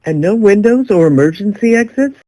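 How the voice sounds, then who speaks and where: A man playing a composed, pragmatic newspaper boss with a measured delivery in an audio drama. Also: sits high, expressive, dark tone, poor audio. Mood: composed, dry.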